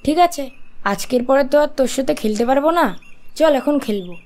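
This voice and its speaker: A woman's voice: sits high, brisk, a little mellow. A woman voicing an eager, playful and impatient young boy in a cartoon drama. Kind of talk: Bengali cartoon drama acting.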